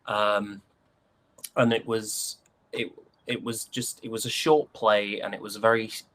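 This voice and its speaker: A man's voice: on the low side, level tone, measured pace, a bit bright. A man from the UK speaking as an interview guest in a casual, thoughtful, hesitant way, often repeating words as he goes. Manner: hesitant, thoughtful, trailing repetitions. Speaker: interview guest, stage actor.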